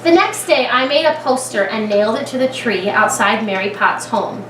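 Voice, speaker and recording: A woman reading a picture book aloud to young children as a kindergarten teacher, expressively, with dramatic pauses and thinking aloud as she asks the listeners questions. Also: fairly high pitch, animated, fairly bright tone, soft background music. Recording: echoey room, distant mic, clear background noise.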